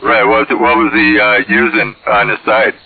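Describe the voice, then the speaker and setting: A man's voice: mid-range voice, level tone, brisk, vocal fry, dry recording. A man chatting casually over CB radio in a hesitant, folksy way. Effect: radio transmission. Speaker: CB radio operator. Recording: tinny, band-limited CB radio signal.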